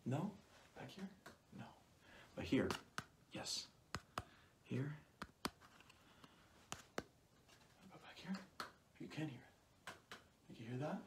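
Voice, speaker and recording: A young man speaking softly through a cloth mask in an ASMR roleplay as an examiner, often dropping into whispers and repeating short phrases close to the mic. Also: fairly high pitch, animated, slow pace, long pauses. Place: small plain indoor room. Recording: clearly noisy home recording with clicks.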